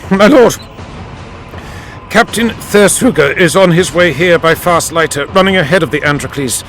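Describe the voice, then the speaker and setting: Man playing a high-pitched, terrified ship's officer. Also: moderate pace, a bit bright, faint music behind. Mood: nervous, deferential. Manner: stammering, small-voiced, fearful. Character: terrified, cowering male ship's officer, deferential. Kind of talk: dramatized audiobook character acting.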